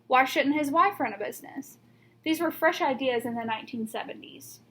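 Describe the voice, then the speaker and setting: Woman, teacher's read-aloud for children, fairly high voice, emphatic storytelling, some background noise. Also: lively intonation, normal speed, fairly bright tone. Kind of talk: read-aloud of a picture-book biography for children.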